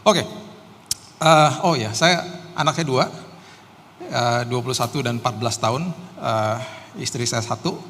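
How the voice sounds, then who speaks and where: A middle-aged Indonesian pastor giving a sermon with personal testimony, casual and joking, with many hesitant "eh"s. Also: male voice, medium pitch, unhurried, long pauses, a bit bright, slight background noise. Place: church hall. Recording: long hall reverb, faint ambience.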